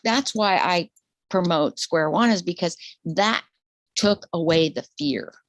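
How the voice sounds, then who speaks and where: A woman in her sixties, a retired nurse, talking openly in an interview, animated and laughing, her voice leaping high when excited. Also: slow pace, dry recording. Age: 60s. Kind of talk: casual interview conversation.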